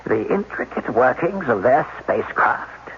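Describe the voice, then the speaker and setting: An actor playing a sly, self-assured professor in a 1980s radio drama, precise and patronizing. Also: male voice, mid-range voice, sing-song, measured pace, breathy edge, exaggerated voice. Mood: assured, insistent. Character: condescending male scientist professor, sly, self-assured, evasive. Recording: dull, noisy old radio broadcast.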